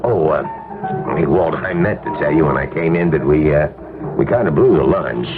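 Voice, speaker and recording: A glib middle-aged business pal, breezy and evasive, full of slangy bluster. His deep voice swoops around in seventies radio drama style. It comes through a tinny old broadcast recording. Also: expressive, moderate pace, music in the background. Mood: awkward, evasive. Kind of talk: 1970s radio drama acting.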